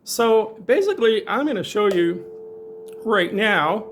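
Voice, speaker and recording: A man with a voice pitched a little above average presents a hands-on technical demonstration. He speaks informally and with enthusiasm, as a tutorial presenter explaining gear to viewers. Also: expressive, measured pace, slight background noise.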